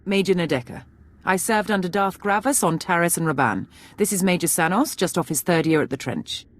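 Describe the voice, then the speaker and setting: Woman acting a low-voiced, commanding army officer. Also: a little fast, close to an everyday voice. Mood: formal. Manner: crisp military briefing delivery. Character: brisk, authoritative female Imperial major giving briefings. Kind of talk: video game military acting.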